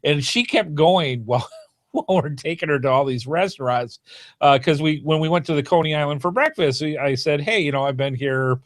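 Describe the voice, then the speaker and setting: An American man hosting a podcast, chatting casually about a trip in a halting, easygoing way. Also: on the high side, no room sound, studio quality. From United States, formerly lived in Michigan. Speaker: podcast host. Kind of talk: casual chat, recounting a trip.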